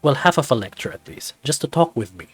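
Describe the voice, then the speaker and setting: A man's voice: medium pitch, lively intonation, fast talker, husky, no echo. A man playing a jovial male professor with a teasing, lecturing manner in visual novel dialogue. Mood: amused, teasing. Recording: background music under the voice.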